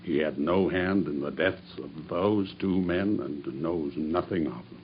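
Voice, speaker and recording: Grim murderer confessing, real deep voice, deliberate, muffled noisy old radio play sound. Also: male voice. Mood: steady confession. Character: grim, vengeful male murderer confessing as a prisoner. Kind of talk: radio drama acting, narrated confession. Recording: dull radio drama recording with background noise.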